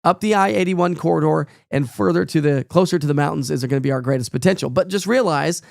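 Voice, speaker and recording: A weatherman talks through his forecast in a folksy, rambling way, leaning on plenty of fillers. His somewhat high voice has a gravelly, croaky edge from a cold that is taking his voice. He sounds close to the mic in a dry, dead room. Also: quick pace, a little mellow, dry recording. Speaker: meteorologist. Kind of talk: casual live weather forecast.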